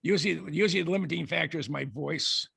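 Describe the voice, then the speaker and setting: An older man with a raspy, gravelly voice, telling long anecdotes as a guest speaker in a halting way full of restarts. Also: a little high, background noise. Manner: halting, many restarts and fillers. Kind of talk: rambling anecdotal presentation.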